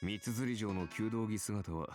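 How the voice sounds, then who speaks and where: A man's voice: fairly low pitch, normal speed, music in the background, natural voice. An actor playing a dry, sardonic archer hero in a visual novel, composed and wry as he lectures. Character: dry, sardonic adult male heroic archer spirit. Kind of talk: Japanese visual novel voice acting.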